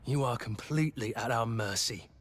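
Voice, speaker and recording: A man playing a gruff mercenary soldier in a fantasy video game. He is blunt and commanding, cynical yet loyal, with a middling pitch. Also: normal speed, natural voice. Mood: grim. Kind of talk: dramatic fantasy game acting.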